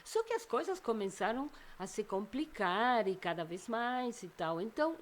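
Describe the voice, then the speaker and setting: A woman's voice: on the high side, big pitch swings, normal speed. An older woman from Argentina with an Argentine Spanish accent, a psychologist chatting as an interview guest, talking thoughtfully and restarting phrases as she searches for words. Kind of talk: casual interview chat.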